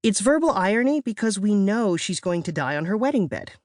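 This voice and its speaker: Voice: mid-range voice, very animated, brisk, a little mellow, no room sound, studio quality, voice pushed up, close to an everyday voice. Narrator putting on a screechy, melodramatic preteen girl. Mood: exasperated. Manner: screechy, theatrical, exasperated. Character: melodramatic, easily frustrated bookish preteen girl. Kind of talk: acted character dialogue in a children's audiobook.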